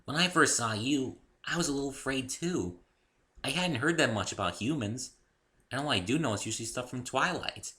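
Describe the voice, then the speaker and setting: A man plays a bubbly young cartoon pony girl. The voice is pushed up high and sharp, perky and full of exclamation, with lively swings in pitch. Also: normal speed. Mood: soft, sincere.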